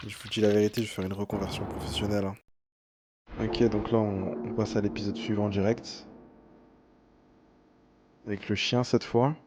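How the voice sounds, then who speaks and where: A man's voice: fairly low pitch, flat delivery, moderate pace, pauses often, background noise. A man giving casual reaction commentary as a streamer, hesitant and often repeating his words. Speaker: streamer reacting to horror videos.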